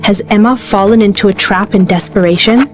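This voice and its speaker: Female narrator, medium pitch, dramatic story read, clear music behind her. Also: brisk.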